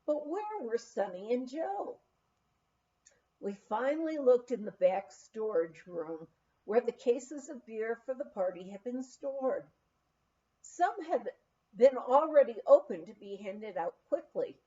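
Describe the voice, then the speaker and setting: A woman's voice: on the high side, very animated, slow pace, dark tone, dull audio. A woman reading a written memoir aloud as an audiobook narrator. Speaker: audiobook reader.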